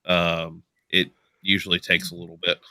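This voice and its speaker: A male podcast panelist talks casually about his work. The voice is fairly low, very bright and tense, with a dry, close sound. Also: completely flat delivery, normal speed, no room sound. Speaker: podcast panelist and game developer. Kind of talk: casual livestream chat.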